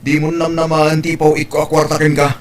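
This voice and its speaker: A man's voice: on the high side, monotone, brisk, close to an everyday voice. A man with an Ilocano accent playing a jealous, distrustful son and boyfriend in an audio drama, questioning and accusing.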